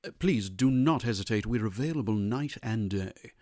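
An actor playing a courteous, well-travelled head butler of an English estate, formal and deferential, in a radio comedy-mystery. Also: male voice, lowish voice, expressive, normal speed, a little mellow, dry recording, studio quality, close to an everyday voice. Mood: obliging.

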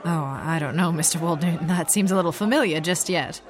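An actress playing a feisty, exasperated girl Friday to a playboy hero, brisk and sharp-tongued in old-time radio serial style. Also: lowish voice, natural voice. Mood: politely reserved. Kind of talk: 1940s radio serial acting.

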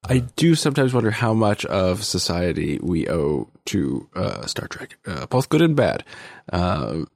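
A man's voice: sits low, very animated, measured pace, vocal fry, darkish tone, no echo, clean studio sound. A man hosting a podcast in casual interview chat, rambling and wry as he interrupts himself. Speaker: podcast host.

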